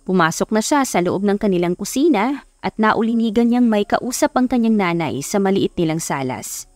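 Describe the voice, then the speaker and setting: A woman's voice: mid-range voice, animated, moderate pace, darkish tone, no echo. A woman with a Filipino accent narrating a scripted romance story as an audiobook. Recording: music behind the voice. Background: background music.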